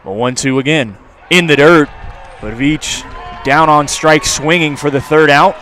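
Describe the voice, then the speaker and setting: A man's voice: fairly high pitch, unhurried, sharp tone, a faint crowd. American man calling baseball, noisy background. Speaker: play-by-play announcer. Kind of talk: live radio baseball commentary. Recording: clear background noise from outdoor ballpark.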